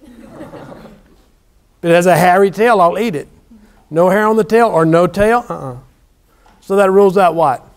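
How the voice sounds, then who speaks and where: A high-voiced man with a Southern US accent talks to his students like a folksy lecturer. He teases and jokes informally, throwing in exclamations as he goes. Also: unhurried, long pauses. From South Louisiana, USA. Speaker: university lecturer. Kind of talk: informal classroom lecture banter. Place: university classroom.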